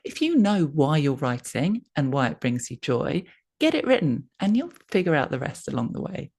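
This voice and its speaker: A woman with a low-pitched voice reads a written article aloud. Her delivery is scripted narration, measured and clearly phrased, as for an advice piece. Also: very animated, darkish tone.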